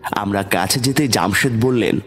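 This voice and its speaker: Low-voiced male horror story narrator. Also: moderate pace, fairly bright tone. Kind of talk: scripted first-person horror story narration. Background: background music.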